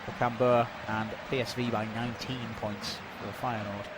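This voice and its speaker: A man's voice: mid-range voice, level tone. Man commentating on a football stoppage, noisy background. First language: not Dutch. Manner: measured, informative, filling time with stats and updates. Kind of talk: live sports commentary during a stoppage.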